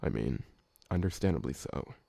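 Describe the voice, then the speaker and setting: A man playing a gentle, awkward, polite old vampire servant who talks hesitantly and deferentially in an ASMR roleplay. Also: very deep voice, lively intonation, moderate pace, creaky, breathy edge, heavily acted. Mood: gentle, tentative. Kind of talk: ASMR roleplay audio drama.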